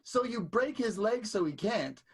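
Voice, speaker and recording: An actor playing a blunt, scheming vaudeville performer in an improvised radio soap, with a husky, halting conversational delivery. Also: medium pitch, lively intonation. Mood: earnest, persuading.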